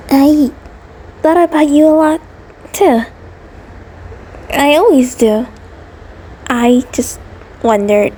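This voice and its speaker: A woman's voice: sits high, darkish tone, slight background noise. A woman voicing an anxious, devoted centipede creature partner in an intimate audio roleplay, nervous and hesitant, stammering and rambling. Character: anxious, devoted female mutant centipede partner, sweet and neurotic.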